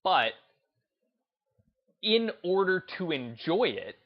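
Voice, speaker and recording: A man hosting a gaming video essay, speaking in an emphatic, deliberate, unscripted way and repeating phrases to drive his points home. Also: high voice, very animated, muffled recording. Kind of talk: opinionated commentary, unscripted talk to listeners.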